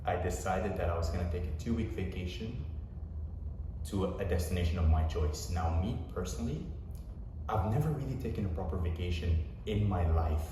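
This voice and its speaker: A young man, a personal trainer, giving a relaxed, rambling vlog update with plenty of fillers. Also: low-pitched, a little fast, background noise. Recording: echoey room from far off.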